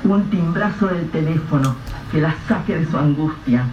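A man's voice: a little high, music in the background. Grave radio drama narrator, man. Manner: measured, grave storytelling. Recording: echoey, distant, dull lo-fi with faint hiss.

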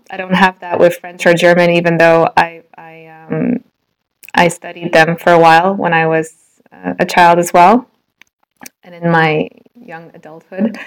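A woman who grew up in Singapore answers interview questions at an easy pace. Her voice sits on the low side and stays fairly flat in pitch. She thinks aloud, with plenty of fillers and restarts. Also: low-quality recording. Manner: thoughtful, with frequent fillers and restarts. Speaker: school owner, guest. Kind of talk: casual interview.

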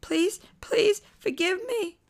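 A woman's voice: extremely high pitch, slow pace, gravelly, pitched above the performer's own voice, heavily acted. A woman voicing a panicked, pleading father trapped in his young daughter's body, in desperate wails. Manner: desperate, wailing pleas.

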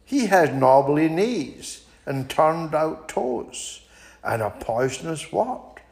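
A plucky little storybook mouse who talks brightly and politely while boasting, in a raised voice, as a reader puts it on. Its tone leaps about, and it slows down to savour the punchlines. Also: mid-range voice, big pitch swings, vocal fry, voice pushed up, exaggerated voice. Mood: polite boastful. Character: small brave clever mouse. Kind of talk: children's story reading.

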